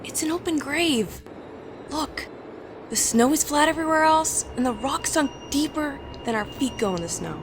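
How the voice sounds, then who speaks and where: A woman's voice: high voice, expressive, moderate pace, background noise. A woman acting a logical, concerned young ghost hunter in an amateur horror story, questioning and matter-of-fact.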